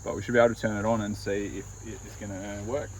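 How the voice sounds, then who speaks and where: A man's voice: lowish voice, level tone, fast, very noisy. An Australian man casually explaining a hands-on DIY install in a laid-back, matter-of-fact way. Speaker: DIY van-build vlogger.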